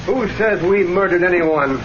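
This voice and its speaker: A man's voice: high-pitched, moderate pace, crowd noise, close to an everyday voice. Man playing curt, bossy Western outlaw chief. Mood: wary, hard. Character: scheming Western outlaw boss, hard and self-assured. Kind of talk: old-time radio Western acting. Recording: muffled band-limited old radio recording.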